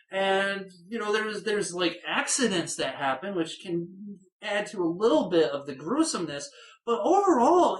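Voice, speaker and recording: A film reviewer talks through a horror movie review in a loose, rambling way, stumbling over repeated words and fillers. The voice has a middling pitch and rings out in a roomy, echoing space. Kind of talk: casual spoken film review.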